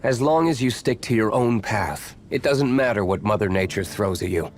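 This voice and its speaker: A man voicing a plain-spoken man offering steady advice, in video game dialogue. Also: lowish voice, normal speed, slight background noise, natural voice. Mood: advising. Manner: steady, advising.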